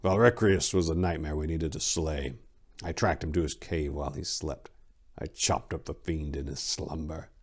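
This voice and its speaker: A man's voice: a bass voice, steady tone, normal speed, husky, full character voice. A man playing a weary, hard-bitten vampire-hunting warrior monk, grim and terse, in a fantasy story. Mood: grim, defiant.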